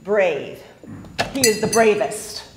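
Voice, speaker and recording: A stage actress in her thirties playing a mysterious, teasing foreign lady with formal, deliberate phrasing. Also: mid-range voice, expressive. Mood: proud, passionate. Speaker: stage actor. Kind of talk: live theatrical stage acting. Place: theater stage, indoors. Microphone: distant camera. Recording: echoey theater stage, faint hiss.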